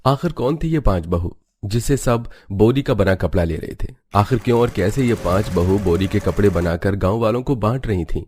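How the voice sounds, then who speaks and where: Male narrator for cartoon tales: low voice, scripted storytelling delivery. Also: lively intonation, normal speed, darkish tone, slight background noise.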